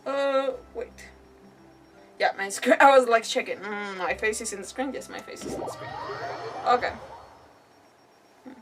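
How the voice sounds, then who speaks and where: A woman's voice: fairly high pitch, moderate pace, pauses often. A woman game streamer chatting casually and commenting on her play in a rambling, hesitant way. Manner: rambling, hesitant, mild substitute swearwords. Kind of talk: casual livestream chat, gameplay commentary. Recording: distant mic, faint music behind. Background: faint game music.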